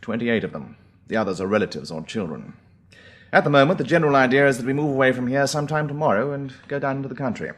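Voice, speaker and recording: A man acting in a radio drama as a brisk, genial organiser of survivors, polite as he gives out instructions. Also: mid-range voice, lively intonation, close to an everyday voice. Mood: brisk, affable. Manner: brisk, polite, hesitant fillers between orders.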